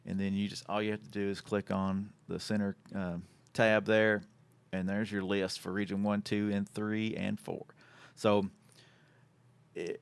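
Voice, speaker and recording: A man's voice: fairly low pitch, little pitch movement, a little slow, creaky, clear, resonant tone, no room sound. A man hosting a casual radio and podcast chat in a folksy, plain-spoken, informal way. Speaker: show host.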